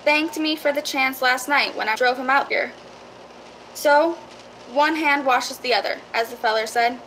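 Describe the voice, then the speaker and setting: Woman playing a high, bright, folksy property seller. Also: measured pace, a little hiss or hum, rough recording. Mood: eager, reassuring. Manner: eager, reassuring, folksy salesmanship. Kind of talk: radio drama dialogue.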